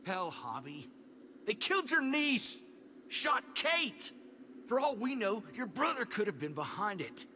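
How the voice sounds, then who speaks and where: An actor playing a bitter, vengeful American survivor in a video game, blunt, cynical and rough-talking. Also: male voice, very high-pitched, very animated, a little slow, sharp tone, slight background noise, heavily acted. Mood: outraged. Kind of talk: dramatic video game voice acting.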